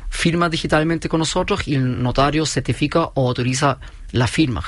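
A man in his forties with a German-Chilean accent, a company founder calmly explaining his business point by point in an interview. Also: a little high, a little fast, dry recording. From Chile, raised in Germany. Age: 40s. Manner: steady, methodical, explains point by point.

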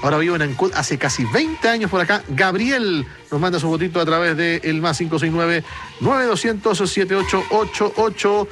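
A man's voice: high voice, normal speed, fairly bright tone. A man with a Chilean accent hosting a casual late-night radio chat, talking colloquially with frequent tag questions and reading out listener messages. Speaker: radio host. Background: music behind the voice.

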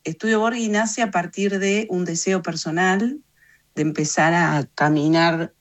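A woman's voice: lowish voice, fairly even intonation, a little slow. A woman in her fifties with an Argentine Rioplatense accent, answering interview questions reflectively in an explanatory, emphatic way. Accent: Argentine Rioplatense Spanish. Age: 50s. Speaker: interview guest, journalist and communications consultant.

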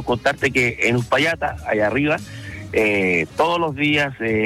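A man with a Chilean accent, a truck driver telling his story in a relaxed interview, pausing often with fillers. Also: mid-range voice. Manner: hesitant, many fillers, storytelling. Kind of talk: casual phone-in interview.

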